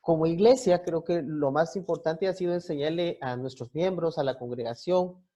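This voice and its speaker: A man in his forties with a Guatemalan accent, a youth pastor explaining things haltingly as an interview guest, on a muffled connection. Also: fairly high pitch, warm tone.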